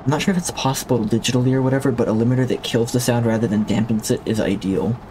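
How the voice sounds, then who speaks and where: A man's voice: medium pitch, steady tone, fast talker, background noise. A man streaming a video game who chats with his viewers in a rambling, reflective way, often restarting his sentences, on a streaming mic with a limiter. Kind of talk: casual chat while livestreaming a game.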